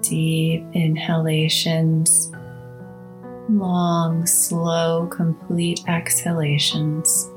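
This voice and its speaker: A woman hosting a guided meditation, speaking in a soothing, measured way with long pauses between short phrases. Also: deep voice, monotone. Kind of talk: slow guided meditation narration. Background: instrumental music.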